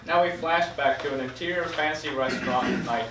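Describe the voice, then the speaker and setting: A man's voice: on the high side, brisk, fairly bright tone. A man reading stage directions as scripted narration at a table read of a screenplay. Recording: echoey room, distant mic, faint noise.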